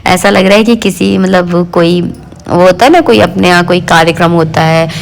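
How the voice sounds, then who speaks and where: Hoarse, gravelly woman chatting at home. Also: fairly low pitch, moderate pace, a little hiss or hum. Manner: homely, chatty, fond of the filler "jo hai na". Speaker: home vlogger. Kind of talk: casual chatty vlog narration. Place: home kitchen, indoors.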